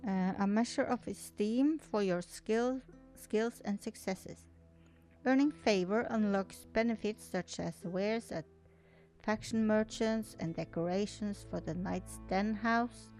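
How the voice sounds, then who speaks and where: A woman's voice: mid-range voice, slow pace, a little mellow, faint music behind. A woman whose first language is not English, reading an article aloud with casual commentary as a video host, often stumbling over words and restarting. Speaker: gaming video creator and host.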